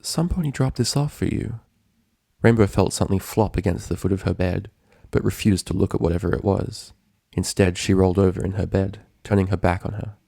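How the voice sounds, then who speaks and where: A man's voice: low-pitched, little pitch movement, fairly quick, gravelly, dark tone, no room sound, studio quality. A man reading a story aloud as its narrator, in scripted prose narration. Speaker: narrator, fan fiction reader.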